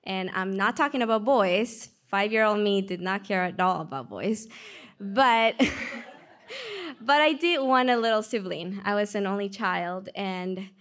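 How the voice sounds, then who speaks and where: A young woman from Peru with a Peruvian Spanish accent, telling her faith story in a lively way. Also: mid-range voice, measured pace. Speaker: testimony speaker. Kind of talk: personal testimony.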